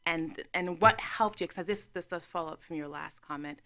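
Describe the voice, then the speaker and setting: A woman hosting a casual talk show interview, chatty and quick to laugh. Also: on the low side, pushed and bright.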